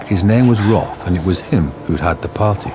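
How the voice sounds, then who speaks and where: A man's voice: deep voice, moderate pace, background noise. A man reading scripted narration for a radio drama. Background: loud dramatic music.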